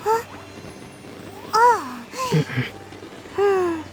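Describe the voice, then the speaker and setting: A woman voicing a tiny, childlike fairy companion in an anime game, squeaky, cutesy, curious and excitable. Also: extremely slow, full character voice. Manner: squeaky, cutesy, third-person talk. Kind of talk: dubbed anime game cutscene dialogue. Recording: loud noise behind the voice.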